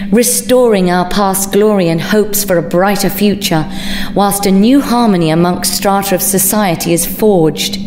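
A woman's voice: medium pitch, noisy background. A woman giving a grave, dramatic scripted narration of a science-fiction legend. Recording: echoing voice under loud music. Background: loud music.

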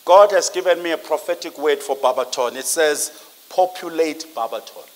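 A man's voice: fairly high pitch, normal speed. A middle-aged South African man preaching a sermon in an emphatic, repetitive, declamatory manner in a church hall.

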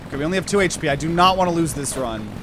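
A man in his twenties streaming a game, chatting with viewers, excitable and swearing freely. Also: on the high side, very fast, crowd noise. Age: 20s. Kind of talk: live gameplay commentary.

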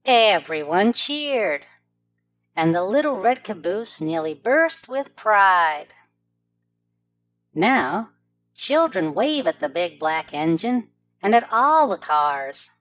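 Slow, expressive woman storyteller. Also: mid-range voice. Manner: clear, deliberate enunciation. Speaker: children's storybook narrator. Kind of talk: read-aloud storytelling for preschoolers.

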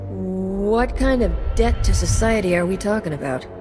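A woman's voice: medium pitch, animated, moderate pace, lightly acted. Woman voicing a flippant smuggler captain, sarcastic, game music behind. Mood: wry. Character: wisecracking female smuggler captain. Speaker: player character smuggler captain. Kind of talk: video game voice acting.

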